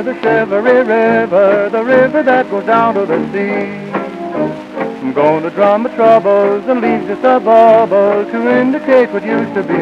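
Dull old recording of high-voiced man singing. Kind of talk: old-time novelty song singing. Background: brass and band music.

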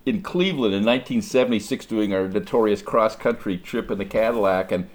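A man's voice: lowish voice, a little fast. An older man, a musician and record collector, reminiscing casually and acting out the remarks he quotes. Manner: animated, acts out remembered quotes.

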